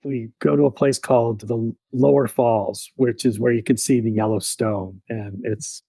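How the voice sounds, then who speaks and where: A man in his thirties, a podcast guest, telling his story in a relaxed, reflective way with many fillers. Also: mid-range voice, moderate pace, vocal fry, breathy edge, no room sound.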